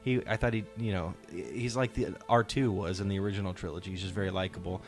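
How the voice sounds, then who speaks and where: A man's voice: low voice, steady tone, quick pace. A man hosting a podcast in casual pop-culture discussion, explaining his points and posing rhetorical questions. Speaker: podcast host. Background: soundtrack music playing faintly.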